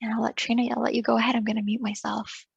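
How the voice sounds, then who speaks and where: A woman's voice: fairly high pitch, level tone, very fast, vocal fry, husky, no echo, dull audio. A woman giving a casual webinar talk on public health over a video call, loose and full of fillers, often checking in with "right?". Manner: loose, filler-heavy, ends points with "right?".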